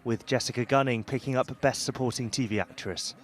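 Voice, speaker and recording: A male reporter reading a scripted entertainment news package in crisp, punchy phrases. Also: mid-range voice, steady tone, a little fast.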